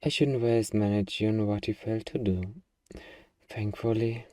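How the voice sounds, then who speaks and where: A man playing a gruff, defensive young zombie-apocalypse survivor with anxiety underneath, in an ASMR roleplay. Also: on the low side, steady tone, moderate pace, dark tone, no echo, clean studio sound, distant mic, natural voice. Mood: anxious, hesitant.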